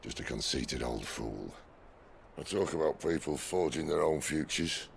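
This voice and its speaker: Gravelly, deep Northern English mentor. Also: male voice, expressive, measured pace, a little hiss or hum, full character voice. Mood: grave, fatherly. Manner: blunt, colloquial. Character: gruff, plain-spoken older man, fatherly mentor. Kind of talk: cinematic game voice acting. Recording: music behind the voice. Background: music.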